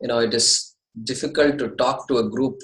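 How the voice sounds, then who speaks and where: A man's voice: mid-range voice, fairly even intonation, normal speed, fairly bright tone, roomy sound, rough recording. A man in his fifties with an Indian accent, a native Tamil speaker, courteously opening an online Sanskrit class from his apartment over a video call. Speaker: Sanskrit teacher. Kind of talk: online class orientation talk.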